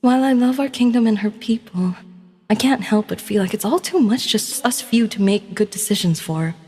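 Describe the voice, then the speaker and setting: A gentle young crown princess, played by a woman, speaks with refined, formal courtly phrasing and a sense of burdened duty. Her medium-pitched voice rings with long reverb, as in a fantasy audio story. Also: moderate pace, breathy edge, natural voice. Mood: wistful, troubled.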